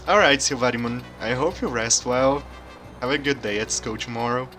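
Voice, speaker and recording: A soft-spoken man from Brazil, a native Portuguese speaker, chatting calmly while streaming a game. His accent is hard to place and often taken for German. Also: medium pitch, normal speed, rough recording. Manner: soft-spoken, quiet and calm. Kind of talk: casual live-stream chat while gaming. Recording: game music playing behind.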